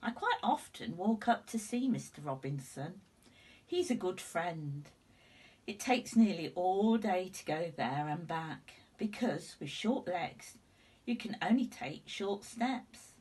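A woman in her sixties reading a children's storybook aloud in an expressive, deliberate way. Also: on the low side, slightly rough. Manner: deliberate, animated telling. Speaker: teacher reading a picture book to children. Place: indoors at home, seated before curtains. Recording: slightly distant room microphone.

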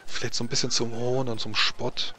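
A man narrating as game master, improvising atmospheric scenes in measured, short phrases with pauses. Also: medium pitch, level tone, bright, forceful tone, a little hiss or hum.

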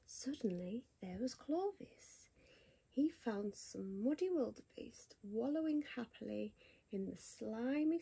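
A woman reading a bedtime picture book to a child in a soft whisper, gasping and asking the child questions. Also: high voice, creaky, breathy edge. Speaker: bedtime story reader.

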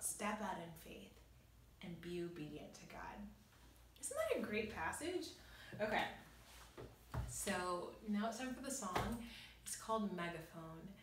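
A young woman giving informal, earnest Bible teaching in a conversational way with emphatic pauses, recorded in a small bare room. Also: medium pitch, fairly bright tone, slight background noise. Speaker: devotional teacher and host. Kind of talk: informal Bible teaching, reading and explaining scripture. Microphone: camera a few metres away. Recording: echoey room, distant microphone.

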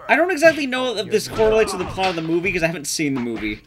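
Male game streamer, lively and swooping, playful and sweary, casual commentary over noticeable background noise. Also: high-pitched, racing. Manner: sweary, playful, reacting to play. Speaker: speedrunner streamer. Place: indoor room at home. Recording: clear background noise, clicks.

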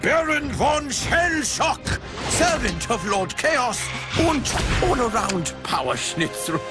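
An actor voicing a pompous, theatrical crustacean pirate baron with a German accent in a cartoon game. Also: male voice, very high voice, big pitch swings, loud music behind the voice, exaggerated voice. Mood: pompous, menacing. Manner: pompous, theatrical, drawn-out delivery.

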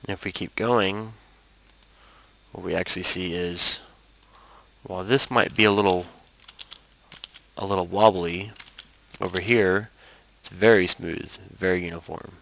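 Deep-voiced man with a creaky edge. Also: fairly even intonation, measured pace, pauses often, a bit bright, poor audio. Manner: explanatory, step-by-step. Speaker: instructor. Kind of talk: software tutorial narration.

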